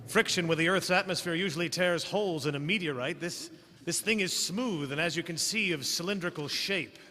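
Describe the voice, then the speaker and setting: An actor playing a puzzled male scientist in an old-time radio drama, speaking in a deliberate, analytical and slightly hesitant way. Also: on the high side, bright, forceful tone. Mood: puzzled, measured. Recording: echoey hall, stage radio play.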